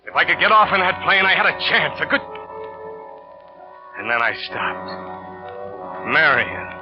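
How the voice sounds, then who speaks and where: An actor playing a scheming, vengeful lover in a 1940s radio thriller, narrating in a tense, hard-boiled way. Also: male voice, fairly high pitch, very animated, unhurried. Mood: desperate, tense. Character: scheming, vengeful male lover in a murder plot, bitter and desperate. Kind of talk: 1940s radio suspense acting, first-person narration. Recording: dull old radio recording with music.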